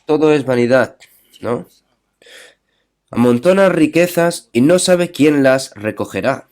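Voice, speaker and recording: A man's voice: on the high side, animated, measured pace, darkish tone. A man preaching a sermon. He reads scripture aloud, asks his listeners rhetorical questions, and keeps checking in with them through short tag questions.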